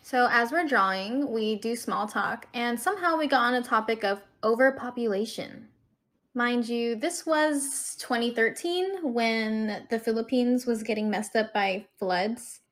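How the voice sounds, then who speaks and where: A slightly high female voice from the United States. She tells a quick anecdote and acts out what her classmates said. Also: animated, a bit bright. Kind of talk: casual storytelling clip.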